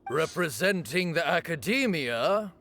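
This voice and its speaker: A man's voice: on the high side, lively intonation, a little slow, a bit bright. A man playing a solemn, formal officiant proclaiming a sacred rite in a video game. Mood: solemn, ceremonial. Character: solemn male ceremonial officiant of a duel rite. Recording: faint music behind the voice.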